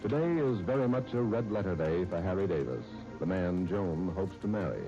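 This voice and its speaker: An American man announcing and narrating in a formal, measured, polished 1930s radio style. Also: low voice, animated. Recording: muffled 1930s radio with music behind.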